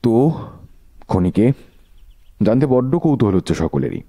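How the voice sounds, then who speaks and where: A man playing a sharp detective in a Bengali audio drama, speaking with rhetorical, theatrical questioning. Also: fairly low pitch, sing-song, moderate pace, no echo, clean studio sound. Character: sharp, theatrical male detective unmasking a killer.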